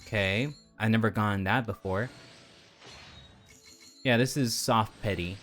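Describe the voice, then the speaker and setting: A man's voice: lowish voice, unhurried, a bit bright, faint music behind. A man streaming a game, chatting casually to viewers in a rambling, geeky, slangy way. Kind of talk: casual livestream chat to viewers while playing.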